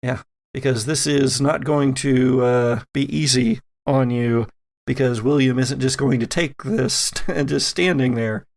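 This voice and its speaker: Guy running a tabletop rpg, slow dramatic storytelling, bit of gravel in his voice. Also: medium pitch. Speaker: game master. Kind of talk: dramatic narration of a tabletop role-playing game.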